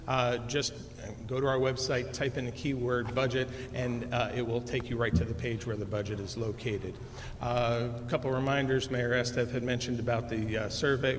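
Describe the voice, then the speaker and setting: A man moderating a public city meeting, giving reminders and inviting speakers, with many uh pauses. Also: medium pitch. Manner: announcing, filled with uh pauses. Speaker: city manager moderating the session. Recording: echoey hall with faint room noise.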